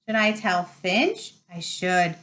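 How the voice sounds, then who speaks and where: A woman's voice: high-pitched, sing-song, slow, a bit bright, voice pushed up, full character voice. A woman voicing a gleeful, taunting male poltergeist from a storybook in a sing-song, mock-babyish way. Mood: mock saintly.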